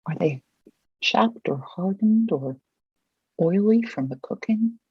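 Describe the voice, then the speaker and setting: A person leading a guided visualization, asking gentle, measured questions with long pauses between them. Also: medium pitch, lively intonation, breathy edge, no echo, highs cut off.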